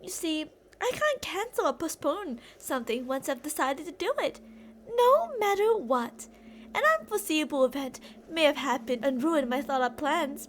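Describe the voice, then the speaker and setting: High-pitched yandere kidnapper girl played by a woman. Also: slight background noise. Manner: rambling, teasing, theatrical. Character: obsessive delusional female yandere kidnapper, rambling to captive. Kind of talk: ASMR roleplay.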